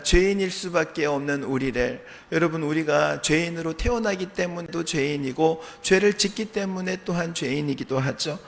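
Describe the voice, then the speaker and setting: A man preaching a sermon as a pastor, his voice a little hoarse from a sore throat, earnestly asking the congregation questions and answering them. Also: fairly high pitch. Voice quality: slightly strained, hoarse voice. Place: church sanctuary.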